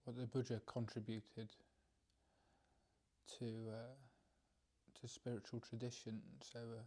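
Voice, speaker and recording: A man leads a guided meditation in a mid-pitched, mellow voice. His delivery is slow and flat in intonation, measured and a little hesitant. He leaves long pauses as he finds his words. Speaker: meditation teacher. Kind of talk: unscripted guided meditation talk.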